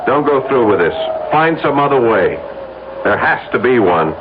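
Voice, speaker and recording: A man's voice: medium pitch, big pitch swings, normal speed, lightly acted. An American actor playing a wary, indignant, stubbornly reluctant man in old radio drama style, blunt and emphatic. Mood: weary refusal. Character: reluctant male blackmail victim, wary, indignant, stubbornly refusing. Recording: noisy, tinny old radio broadcast.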